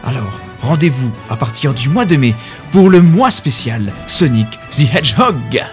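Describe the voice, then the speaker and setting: Male radio host, mid pitch, chatty and emphatic promo read, music bed clearly present. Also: big pitch swings, unhurried. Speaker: web radio show host. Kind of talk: upbeat scripted radio promo. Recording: music behind the voice.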